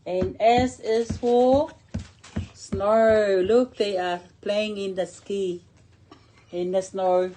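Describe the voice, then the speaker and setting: A woman's voice: mid-range voice, steady tone, very slow, dark tone, a little hiss or hum. A middle-aged woman reading a picture book aloud to a young child in simple short phrases, drawing out key words and pointing things out with questions.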